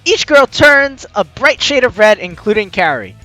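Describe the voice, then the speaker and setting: A man playing a visual novel, reading the text aloud with excitable, joking reactions and the odd stumble, over music. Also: extremely high pitch, moderate pace, no echo, low-quality recording. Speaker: let's player. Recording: music behind the voice.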